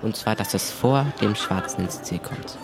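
Man, lowish pitch, improvised role-play, adventure player, prominent background music. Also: normal speed, creaky.

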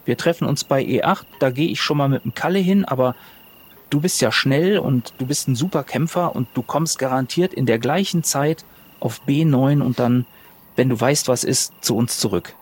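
Husky man playing a tabletop game. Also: medium pitch, normal speed. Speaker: tabletop role-play player. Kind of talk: improvised in-character casual talk.

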